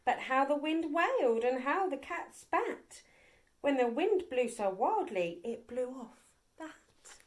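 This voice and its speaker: A woman reads a children's story with dramatic, rhythmic expression. Her voice is high and swoops widely, and she takes it slowly. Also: very animated. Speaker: nursery staff member reading a story.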